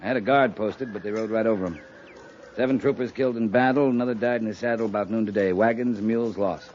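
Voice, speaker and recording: A man's voice: fairly low pitch, fast talker, a little mellow, natural voice. An American man playing a weary, dutiful cavalry captain, respectful but blunt, in a 1950s radio drama. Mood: grave, tired. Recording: muffled, noisy 1950s radio recording.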